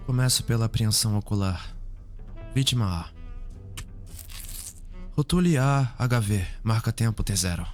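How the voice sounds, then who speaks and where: A man playing a serious young forensic investigator in a Brazilian Portuguese ASMR roleplay, speaking formally, measured and clinical. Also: lowish voice, animated, faint music behind. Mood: clinical, focused.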